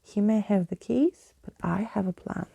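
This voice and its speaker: A woman's voice: mid-range voice, normal speed, creaky, warm tone, highs cut off, slight background noise. A woman voicing a bold, theatrical skeleton man in a storybook, grandly spoken and playful. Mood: scheming. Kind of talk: storybook character in ASMR reading.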